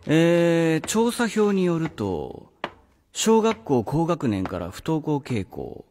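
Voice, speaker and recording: An actor playing a condescending middle-aged male vice-principal in a radio drama, halting and officious, in a remembered-voice effect. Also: a little high, wildly swooping pitch, darkish tone, a little hiss or hum, heavily acted. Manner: halting, officious, with drawled hesitations. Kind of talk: Japanese radio drama acting. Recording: long reverb, music underneath.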